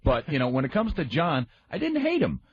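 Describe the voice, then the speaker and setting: A man in a casual radio discussion, speaking in a halting, rambling, aggrieved way. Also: fairly high pitch, wildly swooping pitch, creaky, slightly rough. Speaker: radio show staff member and comedy writer. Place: radio studio. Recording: slightly dull, lo-fi radio audio.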